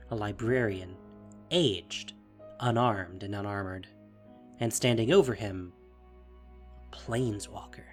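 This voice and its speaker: A man's voice: mid-range voice, lightly acted. A man narrating a fantasy audio drama in a dramatic, scripted style.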